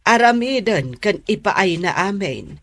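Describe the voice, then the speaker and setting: A woman acting worried mother, middle-aged, admonishing, slow delivery, swooping intonation, radio drama. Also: medium pitch, very animated. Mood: concerned, lamenting.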